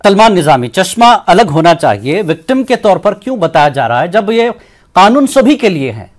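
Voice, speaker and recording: A man's voice: sits high, big pitch swings, moderate pace. A male TV news anchor with an Indian accent moderating a debate and pressing guests with questions. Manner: probing, prompting with short interjections.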